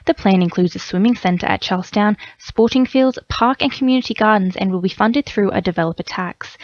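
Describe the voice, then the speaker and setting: An Australian woman reads the news from a script in a dry studio. Her voice sits at an average pitch for a woman. The radio audio is a little dull. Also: a little mellow, no echo. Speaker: newsreader. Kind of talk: scripted news bulletin reading.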